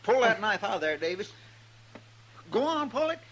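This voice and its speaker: A high, bright-voiced cowboy sidekick in a vintage radio western. He is folksy and good-natured, with a hesitant rural American drawl. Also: sing-song, normal speed, slight background noise. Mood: concerned. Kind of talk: old-time radio western acting.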